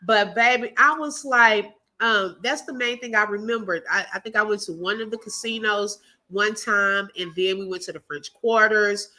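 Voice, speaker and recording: A woman's voice: mid-range voice, moderate pace, pushed and bright. A woman with a Texas accent hosting a casual live stream, chatting with viewers, reading out their comments and laughing often. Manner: chatty and laughing, calls viewers baby and girl.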